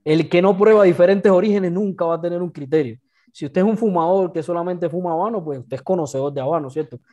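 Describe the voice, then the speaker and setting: A man's voice: high-pitched, fast, mellow. Emphatic man from Cuba on a video call. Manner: emphatic, repeats himself with rhetorical tags. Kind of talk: casual video call chat. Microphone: webcam on a video call, earphones.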